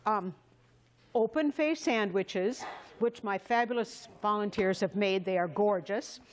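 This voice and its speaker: Older woman hosting, high-pitched voice. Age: 70s. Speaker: cooking class host. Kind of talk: informal cooking demonstration talk. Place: large wood-paneled community hall.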